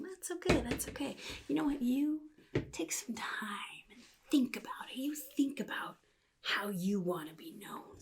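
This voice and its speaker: Woman playing a sadistic male comic-book supervillain, gravelly half-whisper, husky, halting drawl, casually menacing mentor, soft ASMR roleplay. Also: fairly low pitch, heavily acted. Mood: indulgent advising.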